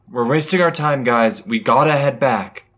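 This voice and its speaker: An exasperated male space traveler, played by an actor, in a sci-fi audio drama. His voice is mid-pitched and his delivery blunt and grumbling. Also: slow pace, a bit bright. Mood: impatient, exasperated.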